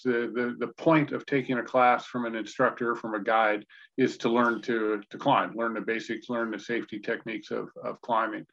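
Gravelly older American man, halting delivery. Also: mid-range voice, a bit bright. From Indiana, United States. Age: 60s. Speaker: interview guest. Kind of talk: conversational personal storytelling. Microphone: video call. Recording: dull, lo-fi video call audio.

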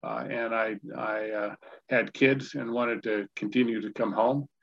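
An American man in his sixties from Indiana, telling personal stories as an interview guest in a halting, conversational way over a video call. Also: mid-range voice, fairly even intonation, gravelly. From Indiana, United States. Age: 60s. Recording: dull, lo-fi video call audio.

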